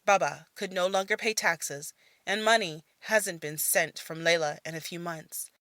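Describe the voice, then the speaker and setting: A woman narrating a fantasy audio story in a measured, soft-spoken manner. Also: lowish voice, dry recording. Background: faint music.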